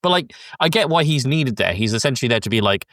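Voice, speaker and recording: A man's voice: medium pitch, expressive, fast, a bit bright, no echo, clean studio sound. A man co-hosting a podcast, recapping and giving opinions on a film casually, as an enthusiastic fan. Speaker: podcast co-host.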